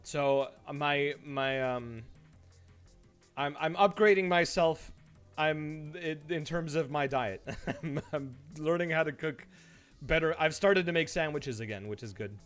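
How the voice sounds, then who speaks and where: A man streaming live, chatting casually to viewers and telling stories in an animated way, acting out his reactions. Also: a little high, measured pace. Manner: animated storytelling, mimics his own reactions; laughs easily while talking. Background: background music.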